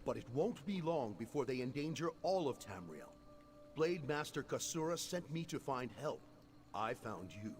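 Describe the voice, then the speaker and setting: A man's voice: mid-range voice, big pitch swings, normal speed, close to an everyday voice. A man playing an honorable, earnest sword apprentice in a fantasy video game, with formal, solemn wording. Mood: earnest, urgent. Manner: formal, solemn herald-like delivery.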